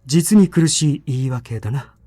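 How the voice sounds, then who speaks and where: A male voice actor playing a sharp, haughty and disapproving young detective in a visual novel, brusque in manner. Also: mid-range voice, expressive, fast, natural voice. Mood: scornful. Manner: brusque, superior. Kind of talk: Japanese visual novel acting.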